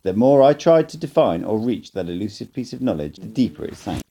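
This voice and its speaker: Man with a low, mellow reading voice. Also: very animated, a little fast, creaky, slight background noise. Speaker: amateur audiobook reader. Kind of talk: first-person scripted narration.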